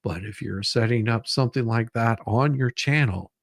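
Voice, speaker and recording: Gravelly guy hosting a live stream, casual, keeps repeating himself, dry close sound. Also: mid-range voice, no echo. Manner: repeats phrases, halting. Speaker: livestream host.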